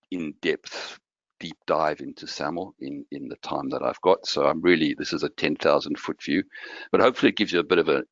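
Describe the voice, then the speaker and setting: A man from Malawi giving a guest technical presentation over a remote call, explaining in a relaxed way with some restarts. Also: rumbling low, no echo. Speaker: guest speaker. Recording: dull lo-fi audio.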